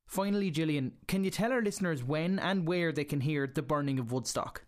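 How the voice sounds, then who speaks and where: A man's voice: on the high side, fairly quick, dry recording. An Irish man presenting a radio show in a scripted style, linking segments from a studio.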